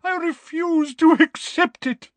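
A man's voice: takes it slowly, no echo. A man voicing a distraught older woman seer who wails and stammers through tears. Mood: wailing. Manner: sobbing, stammering, shrill.

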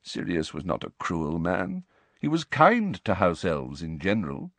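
A man's voice: low voice, huge pitch swings, no echo, heavily acted. Man acting a weary, grave old wizard. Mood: quiet, firm. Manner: quiet, measured, grave. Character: calm, weary, wise old male wizard headmaster. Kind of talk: dramatic audiobook character reading.

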